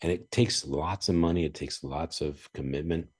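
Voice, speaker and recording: A man hosting a podcast, chatting casually and telling animated stories with chuckles and frequent throat-clearing. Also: very deep voice, normal speed. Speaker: podcast host. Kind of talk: casual interview chat.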